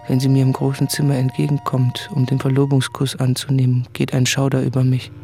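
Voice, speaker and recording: An actor playing an anguished, self-doubting man who speaks in a brooding, deliberate, confessional way in a literary radio play. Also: mid-range voice, vocal fry. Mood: tormented, brooding. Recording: music under the voice.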